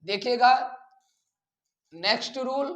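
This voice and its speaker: A man with an Indian accent teaching a grammar lesson in a didactic way, repeating phrases deliberately. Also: very high-pitched, fairly bright tone. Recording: echoey room sound.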